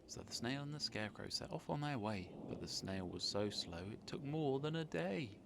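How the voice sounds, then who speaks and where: A man narrating a children's storybook in an expressive read-aloud style, with faint noise behind. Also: mid-range voice, normal speed, vocal fry.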